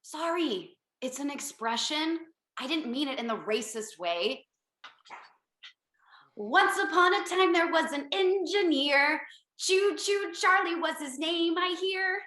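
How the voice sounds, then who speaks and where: A woman's voice: sits high, animated, slow pace, pushed and bright, exaggerated voice. A woman playing a brash, self-absorbed company boss in a comedic table read, breezy, bossy and profane. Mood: flippant, patronizing. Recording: roomy home video-call audio.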